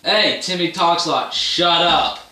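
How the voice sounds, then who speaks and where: A man playing an excitable, blustering sidekick in an amateur stage play. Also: fairly high pitch, unhurried. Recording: echoey, distant, noisy stage recording.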